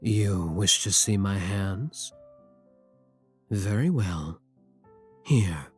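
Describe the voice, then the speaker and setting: A man playing a brooding, tender vampire king in intimate ASMR roleplay, husky and courtly, with formal endearments and hesitant pauses. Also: low voice, lively intonation, exaggerated voice. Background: soft music.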